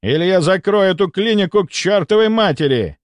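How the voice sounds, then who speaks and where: A man's voice: sits high, expressive, a little fast, no room sound, studio quality, pitched above the performer's own voice. Male narrator as furious aristocrat, commanding, barking, threatening, raised voice, audiobook drama. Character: imperious angry count, commanding man used to giving orders.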